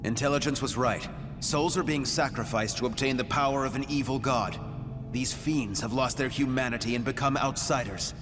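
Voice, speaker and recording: An actor playing an earnest, loyal warrior comrade in a video game, speaking formally and deliberately. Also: male voice, medium pitch, lightly acted. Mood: concerned, sincere. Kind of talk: video game cutscene acting. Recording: loud music underneath.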